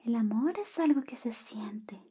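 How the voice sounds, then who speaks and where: A young woman gently reading a bedtime story in a soft, whispered ASMR style. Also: fairly high pitch, expressive, a little slow, husky.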